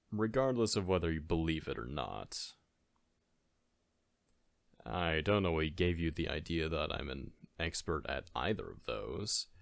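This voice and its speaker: A man playing a caring, goofy best friend in a soft-spoken ASMR audio roleplay, talking in a halting, gently chiding, conversational way. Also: a bass voice, creaky, no room sound, exaggerated voice.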